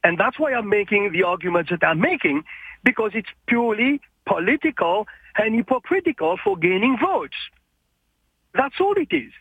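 A man calling in to a radio show, insistent and animated, with a husky voice heard over a phone line. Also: high voice, moderate pace, dry recording. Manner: animated, insistent, repeats points. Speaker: caller.

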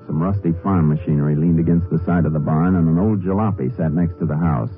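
Guy playing a calm low-voiced ranger, firm and businesslike, tinny old radio cop western. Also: fast talker, faint music behind, natural voice. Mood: matter-of-fact. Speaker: lead investigator and narrator. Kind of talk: 1950s western radio drama acting and narration. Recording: tinny 1950s radio recording.

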